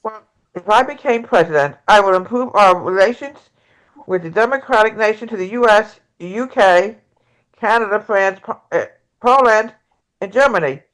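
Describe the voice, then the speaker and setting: A woman playing an earnest, combative candidate, haltingly read. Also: mid-range voice, lively intonation, fairly bright tone, lightly acted, poor audio. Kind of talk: amateur table read of a debate scene.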